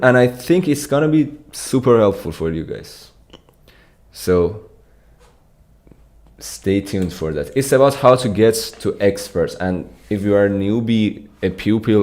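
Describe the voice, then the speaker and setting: A young man from Iran, a competitive programming streamer, casually answering live chat while reading it aloud. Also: lowish voice. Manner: relaxed, reads and answers chat. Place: small dim room with pink light. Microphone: studio mic on arm.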